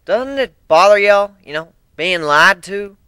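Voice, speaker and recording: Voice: mid-range voice, lively intonation, measured pace, sharp tone, clean tone, no room sound, studio quality, voice pushed up. A performer voicing a blunt, skeptical female farm pony with a US Southern accent in a cartoon fan story. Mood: accusing. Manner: folksy, accusing.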